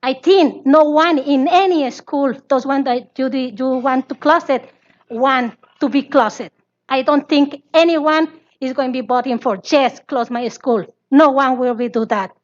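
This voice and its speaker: A high-voiced woman with a Spanish accent speaks as a parent at a public hearing. She pleads earnestly in halting English. The audio is slightly dull. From Latin America. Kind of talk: public comment speech.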